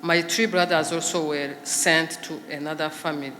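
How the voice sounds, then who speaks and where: A woman gives her testimony as a genocide survivor, speaking haltingly with many pauses and fillers at a podium microphone. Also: sits low, fairly even intonation, fairly bright tone. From Rwanda. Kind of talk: personal testimony speech. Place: large commemoration hall. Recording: echoey hall with faint background noise.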